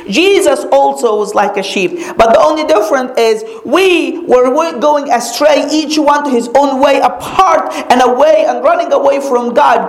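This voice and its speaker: A woman whose mother tongue is Arabic teaches scripture in sermon style. Her voice sits slightly high and rings out in an echoey room. She leans on repetition, slowing down to stress the key phrases. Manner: emphatic, repeats key phrases with slow dramatic pauses. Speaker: Bible teacher.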